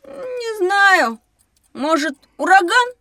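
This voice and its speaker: A woman's voice: very high-pitched, big pitch swings, slow pace, sharp tone, no room sound, studio quality, full character voice. A woman voicing a cheeky young schoolboy who dislikes studying, childlike and grumbling, in a children's audio drama.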